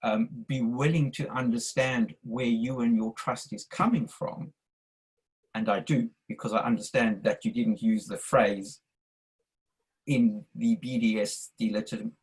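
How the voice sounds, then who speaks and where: An older man with a South African accent, making an earnest, measured statement on a video call. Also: mid-range voice, fairly even intonation, slightly rough, poor audio. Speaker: audience member asking a question. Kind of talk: online discussion statement.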